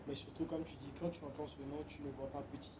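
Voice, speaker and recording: Young man from benin, interview guest, relaxed, hesitant, noisy background. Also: on the high side, fast talker. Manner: relaxed, hesitant with many fillers. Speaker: musician guest. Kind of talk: casual interview chat.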